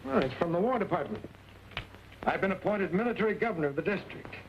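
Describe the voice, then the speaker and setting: A man's voice: high voice, lively intonation, fast talker. An actor playing a stern, blustery middle-aged colonel in an old Western, barking his words indignantly. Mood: gruff. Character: blustery, stern Yankee Army colonel and ranch owner. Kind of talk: old Western film acting. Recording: muffled, hissy old film soundtrack.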